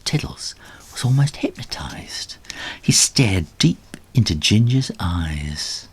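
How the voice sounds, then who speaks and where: A man reading a children's story aloud in a dramatic, animated storybook style. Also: fairly low pitch, slow pace, whispered. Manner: dramatic, with acted sound effects.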